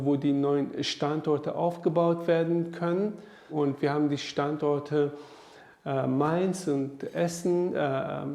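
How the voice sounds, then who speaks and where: Man, gravelly and mellow, hesitant interview talk, roomy echo. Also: a little high. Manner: hesitant, repeats words while explaining. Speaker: association staff member. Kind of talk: interview statement.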